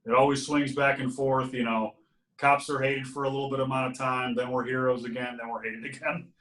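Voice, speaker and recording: A man's voice: medium pitch, level tone, brisk, fairly bright tone, distant mic. A man from Ohio, a podcast guest, telling his story in an easygoing, casual way. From Ohio, USA. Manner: easygoing storyteller, laughs now and then. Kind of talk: casual interview chat. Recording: roomy sound from off mic.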